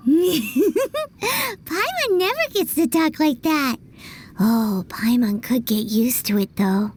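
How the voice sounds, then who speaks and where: A woman voicing a tiny, chatty fairy-like companion in an anime video game, with a squeaky childlike voice and a blunt, excitable manner. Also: slow, slight background noise, exaggerated voice. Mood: smug. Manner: blunt, refers to self in third person.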